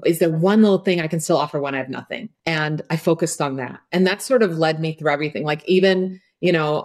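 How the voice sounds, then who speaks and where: A middle-aged woman from Croatia, a podcast guest talking candidly and openly, often saying "you know" and "like". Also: low-pitched. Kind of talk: conversational interview.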